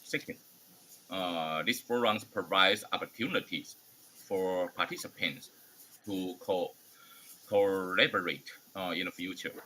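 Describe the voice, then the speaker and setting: Forum host: man, Taiwanese accent, Mandarin native, lowish bright voice, formal yet hesitant, slight background noise. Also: rough recording. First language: Mandarin Chinese. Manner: halting, hesitant non-native English delivery. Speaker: host of an online academic forum. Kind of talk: formal welcome speech.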